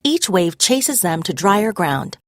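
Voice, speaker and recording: A woman narrating a scripted story as a read-aloud, expressive and clearly phrased. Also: medium pitch, takes it slowly, no room sound, clean studio sound.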